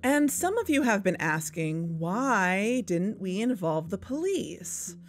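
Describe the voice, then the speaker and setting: A woman's voice: medium pitch, sing-song, slow. A woman playing a wry, earnest investigator who co-hosts a podcast, narrating in a conversational, confiding way. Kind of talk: conversational scripted audio drama narration. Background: background music.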